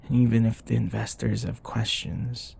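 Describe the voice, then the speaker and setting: A man's voice: fairly low pitch, little pitch movement, moderate pace, creaky, a little mellow, breathy edge, full character voice. A man acting a grumpy, sarcastic office rival who grudgingly cares, in an intimate, blunt, conversational roleplay style. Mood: firm, reassuring. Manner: exasperated, blunt, conversational, sighing.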